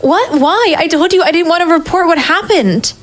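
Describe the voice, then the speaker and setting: A woman's voice: very high-pitched, normal speed, soft background music. A woman playing a hardboiled, sardonic private investigator in a noir audio drama, with a wry, self-mocking manner. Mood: exasperated. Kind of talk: first-person noir audio drama narration and dialogue.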